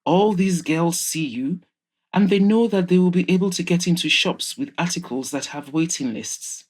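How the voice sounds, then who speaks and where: Audio drama: rich, sharp-tongued mother of an adult son, acted by a woman, low register, emphatic and reproachful. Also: moderate pace, breathy edge. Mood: scolding, indignant. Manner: scolding, sarcastic, emphatic.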